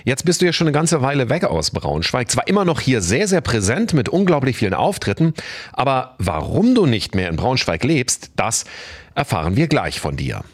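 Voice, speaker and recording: Husky, lively radio host. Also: male voice, mid-range voice, moderate pace. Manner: chatty, stumbling restarts. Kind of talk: casual radio interview. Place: radio studio.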